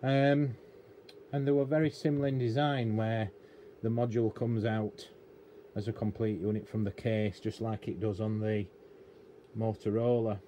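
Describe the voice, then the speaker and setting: A man's voice: lowish voice, little pitch movement, normal speed, mellow. A middle-aged British man giving an unscripted, rambling, matter-of-fact hands-on explanation at an indoor workbench. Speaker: radio technician and hobbyist presenter. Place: indoor cluttered electronics workbench. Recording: noticeable room noise behind voice.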